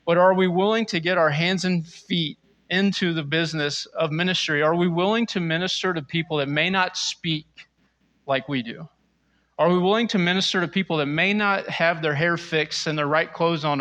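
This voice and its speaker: A male pastor with a fairly high, bright, forceful voice preaches to his congregation. He is earnest and exhorting, building his point through repeated rhetorical questions. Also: normal speed. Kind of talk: sermon addressing a congregation.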